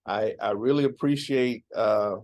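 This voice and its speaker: Mellow-voiced male interviewer. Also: on the low side. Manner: measured, with frequent affirming "right". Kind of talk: interview questions.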